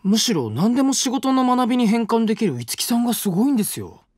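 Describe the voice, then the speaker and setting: An actor in his twenties playing a gentle, polite male university student who lives next door, speaking in a calm, lightly teasing way. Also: very high voice, fast, no room sound, clean studio sound, exaggerated voice. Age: 20s. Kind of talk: voice drama acting. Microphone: close studio mic.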